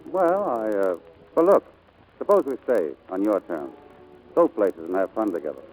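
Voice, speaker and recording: A man's voice: lowish voice, wildly swooping pitch, normal speed, a little mellow, rough recording. An actor playing a breezy, flirtatious, self-assured male pilot in 1940s radio drama style. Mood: earnest, wooing. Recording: old 1940s radio broadcast, music behind.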